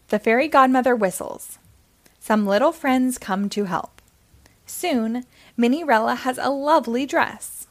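A woman reading a story aloud to young children in an expressive, deliberate way with simple phrasing. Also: high-pitched.

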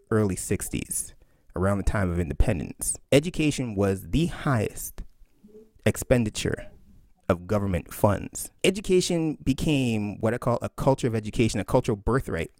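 Lively man explaining, dry close sound. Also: lowish voice, dry recording. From Canada. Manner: animated, laughs often, acts out quotes. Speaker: author and historian. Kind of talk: interview answer, explaining.

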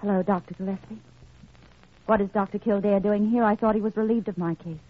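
A bitter young hospital patient in 1940s radio drama, played by a woman, cold and curt, with wounded pride. Her voice sits at a medium pitch on a tinny, phone-like old recording. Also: fairly even intonation, a little fast, natural voice.

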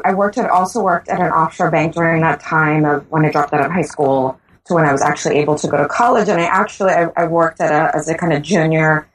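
A woman's voice: low-pitched, poor audio. A woman from the Bahamas answering interview questions thoughtfully, with frequent restarts and fillers. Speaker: guest author.

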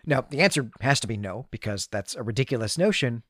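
A man's voice: mid-range voice, lively intonation, fast talker, fairly bright tone, dry recording, studio quality. A man co-hosting a podcast, recapping and discussing a story in a thoughtful, analytical, conversational way. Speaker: podcast co-host.

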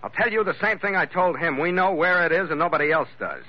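An actor playing a tough, wisecracking ship's captain with an American accent in a hard-boiled old radio adventure, sardonic and slangy. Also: male voice, a little high, fast, close to an everyday voice. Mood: defiant, sardonic. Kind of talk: hard-boiled 1940s-50s radio acting and narration. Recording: dull vintage radio recording.

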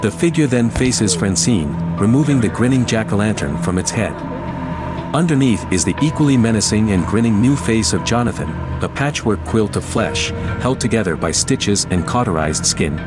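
A man's voice: fairly low pitch, animated, moderate pace. A man reading scripted dramatic narration for an audio drama. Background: music, vehicle sound effects.

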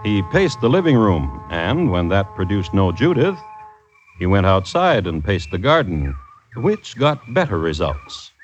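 Casting: male radio narrator, low and wry, expressive storytelling over music, vintage sound. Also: unhurried, muffled recording. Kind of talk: scripted storytelling narration, old-time radio.

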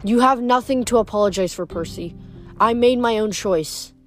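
A woman voicing a gentle teenage girl ghost who speaks in a soft, quiet and sad way. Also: fairly high pitch, normal speed, faint music behind, natural voice.

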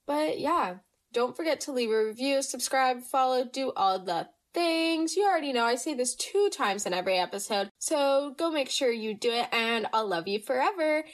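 A woman's voice: high voice, expressive, normal speed. A young woman hosting a casual storytime podcast, chatty and rambling, sounding a little nasal from allergies. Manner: bubbly, chatty, rambling with fillers. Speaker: podcast host.

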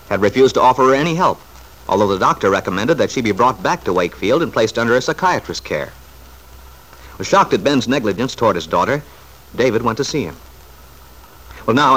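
Old time radio soap narrator guy, really lively delivery, medium pitch. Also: low-quality recording.

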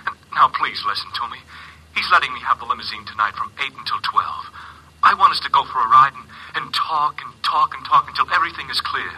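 A man's voice: medium pitch, brisk, sharp tone, husky, full character voice, rough recording. An actor playing an unstable young man, lovesick and paranoid, in a 1940s radio drama, pleading and then turning frantic.

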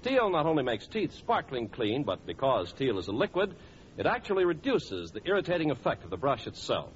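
A man's voice: medium pitch, huge pitch swings, fairly quick, a little hiss or hum. A man announcing on 1940s radio, reading scripted sponsor copy and credits in a polished, emphatic sales style. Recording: dull old radio broadcast recording.

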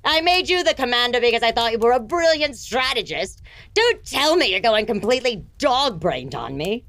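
An evil empress in a fantasy audio drama. Her highish, sweeping voice is haughty and impatient, scolding underlings with open scorn. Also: big pitch swings, moderate pace, a bit bright, no echo. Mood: scornful, exasperated.

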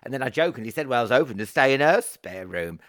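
A man hosting a podcast, telling stories in a camp, animated way with frequent laughs and swearing. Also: medium pitch, fast. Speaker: podcast host. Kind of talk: casual chat.

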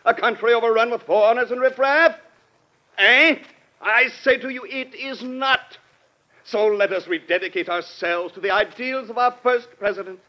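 A man's voice: extremely high pitch, moderate pace. An actor playing a pompous, blustering banquet chairman who speechifies in an old-time radio drama. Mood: pompous, rousing. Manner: oratorical, peppered with "eh?". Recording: muffled vintage radio recording.

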